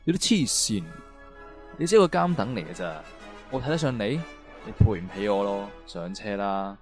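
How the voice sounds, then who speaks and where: A man's voice: on the low side, animated, moderate pace, natural voice. A man acting a commanding, dismissive prison officer in an amateur radio drama. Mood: commanding, scornful. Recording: radio drama with music underneath.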